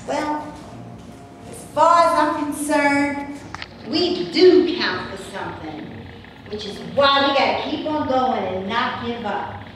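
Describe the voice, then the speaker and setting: An actress in a staged reading, playing a skeptical, practical woman who talks in a doubtful, wry way. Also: fairly high pitch. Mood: thoughtful. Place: theater before a live audience. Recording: distant voice in echoey room, faint noise.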